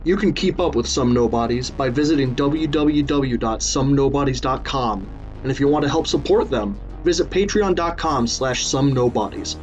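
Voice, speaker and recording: Man, medium pitch, scripted announcer read, dull lo-fi recording, light music bed. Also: moderate pace. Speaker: credits announcer. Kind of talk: scripted credits read and promotion. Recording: dull lo-fi sound, music underneath. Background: background music.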